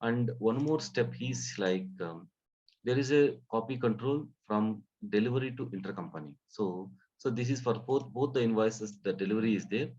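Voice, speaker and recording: A man with a fairly low voice leads a live training session. He explains in a halting manner, restating phrases as he goes. The recording is dull and lacking in detail. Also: darkish tone. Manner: halting, repeats and rephrases phrases. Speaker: software trainer. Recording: dull, muffled lo-fi audio.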